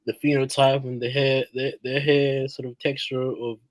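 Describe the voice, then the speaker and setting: A man's voice: mid-range voice, level tone, moderate pace, no echo. A man who grew up in Australia, chatting casually on a podcast panel, stumbling and repeating words as he thinks. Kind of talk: casual chat.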